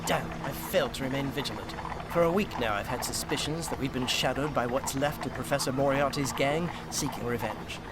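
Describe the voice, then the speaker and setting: An actor playing a devoted, gallant Victorian doctor in a radio drama, with a theatrical, commanding manner. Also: male voice, medium pitch, a little fast, close to an everyday voice. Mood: urgent, commanding. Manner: theatrical, urgent. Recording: loud dramatic music bed. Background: music.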